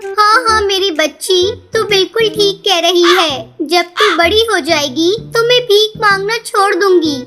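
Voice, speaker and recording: Poor, devoted cartoon mother bird, played by a woman: extremely high, earnest and pleading, over clear background music. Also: a little slow, few pauses, bright, forceful tone, full character voice. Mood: tender. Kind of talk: Hindi children's cartoon voice acting.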